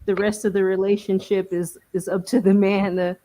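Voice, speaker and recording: A woman's voice: mid-range voice, flat delivery, normal speed, warm tone, low-quality recording. A woman speaks as a guest on a casual podcast panel. She answers hesitantly and hedges often. She uses plenty of fillers. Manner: hesitant, filler-heavy, laughing. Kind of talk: casual discussion.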